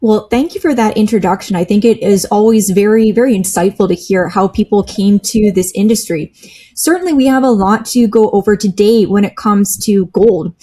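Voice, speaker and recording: Female interview host, friendly polished delivery, warm mellow tone. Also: mid-range voice, normal speed. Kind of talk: interview hosting.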